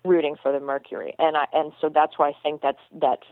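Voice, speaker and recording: A woman's voice: deep voice, level tone, fast, no echo. A woman co-hosting a radio show, chatting earnestly about basketball with many restarts and repeated words. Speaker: radio co-host, basketball commentator. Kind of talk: casual radio chat. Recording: dry tinny phone line.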